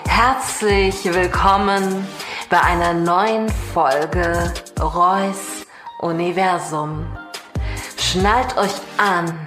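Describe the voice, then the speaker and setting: A woman announcing a podcast intro, scripted and dramatic, with a grandly teasing build-up. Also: on the low side. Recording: roomy echo, music behind.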